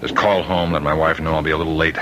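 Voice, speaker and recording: An actor playing a middle-aged prison warden in a radio drama, authoritative and deliberate but uneasy. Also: male voice, extremely low pitch, fairly even intonation. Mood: awkward, courteous. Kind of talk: old-time radio drama acting. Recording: noisy old radio recording.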